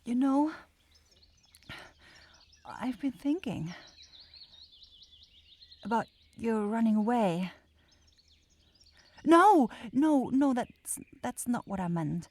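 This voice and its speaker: A woman's voice: a little high, lively intonation, very slow, pauses often, darkish tone, exaggerated voice. A woman voicing a sweet, clumsy forest witch in a fantasy ASMR roleplay, stammering and hesitant as she talks. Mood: shy, tender. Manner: stammering, hesitant, breaks off and repeats words.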